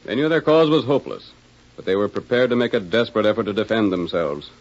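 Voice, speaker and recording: A man's voice: on the low side, animated, fast. A man narrating a western in a dramatic, urgent 1940s radio style. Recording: muffled old radio broadcast. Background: music.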